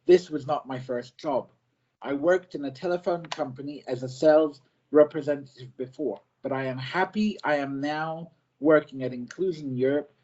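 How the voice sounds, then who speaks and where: A man, a self-advocate working as an easy-to-read editor, giving a prepared presentation in short simple sentences, slow and careful, with some restarts. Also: on the high side, a little mellow, muffled recording. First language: not French; French is a second language.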